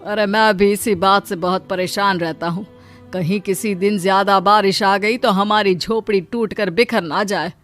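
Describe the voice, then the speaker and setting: A woman's voice: medium pitch, fairly even intonation, moderate pace, fairly bright tone, faint music behind, natural voice. A woman playing a worried, protective poor labourer husband in a Hindi moral cartoon story. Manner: fretful, protective.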